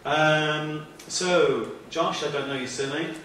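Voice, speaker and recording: A man's voice: a little high, slow, a bit bright, distant mic, a little hiss or hum. A man hosting an event, introducing the next speaker with some hesitation. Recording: very echoey room from afar.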